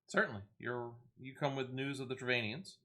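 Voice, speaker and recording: A man voicing a courteous leader of a fantasy order in a video game, speaking with measured, archaic formality. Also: mid-range voice, bright, forceful tone, natural voice. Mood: cautious, diplomatic.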